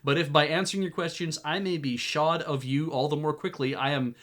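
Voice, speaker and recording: A man voicing a proud, furious middle-aged male border baron in a video game, reading his lines in a haughty, loud manner. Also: on the high side, steady tone, brisk, lightly acted.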